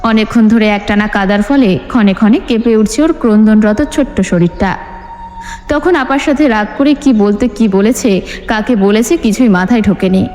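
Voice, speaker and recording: A woman's voice: medium pitch, moderate pace, a little mellow. A woman narrating a scripted dramatic story as an audiobook reader. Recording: long hall reverb with music bed. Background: music.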